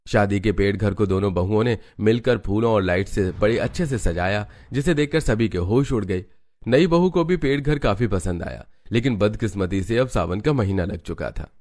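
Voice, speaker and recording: A man's voice: on the low side, darkish tone. A man narrating a family story in a scripted storybook style.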